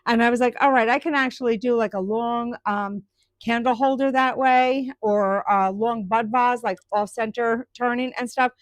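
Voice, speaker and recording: A woman's voice: a little high, fairly even intonation, moderate pace, no echo. A woman chatting live with viewers about her woodturning, rambling with lots of ums and restarts. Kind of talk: casual live-stream chat.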